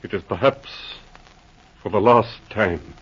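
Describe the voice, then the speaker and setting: An older colonel in a 1940s radio drama, weighed down by guilt and dazzled by a gem. He speaks slowly and stammers in a low, husky voice. The recording is dull and lo-fi, as old radio broadcasts sound. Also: fairly bright tone, full character voice. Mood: reverent. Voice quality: hesitant, halting, stammering.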